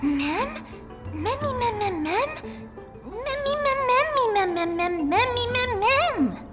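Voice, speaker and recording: A woman voicing a tiny cute game creature that babbles in squeaky nonsense syllables. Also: big pitch swings, slow pace, loud music behind the voice, exaggerated voice. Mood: curious. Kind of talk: anime-style game voice acting.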